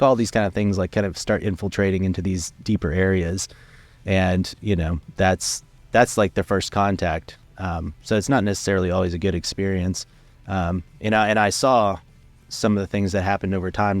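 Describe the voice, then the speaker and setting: A young man talking casually as an interview guest, relaxed and rambling, with many fillers and restarts. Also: deep voice, dry recording. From United States, raised in Venezuela. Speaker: filmmaker guest. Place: studio.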